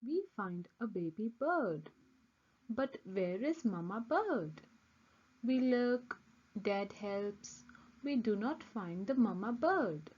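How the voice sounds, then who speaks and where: A woman reading a picture book aloud for young children, with simple, deliberate, expressive phrasing. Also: medium pitch, husky, highs cut off. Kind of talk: scripted storybook read-aloud for young children.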